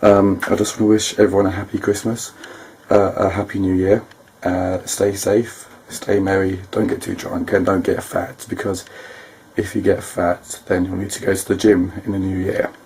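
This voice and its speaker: A man with a hoarse, croaky voice giving a brief, joking holiday greeting. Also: deep voice, flat delivery, normal speed, darkish tone.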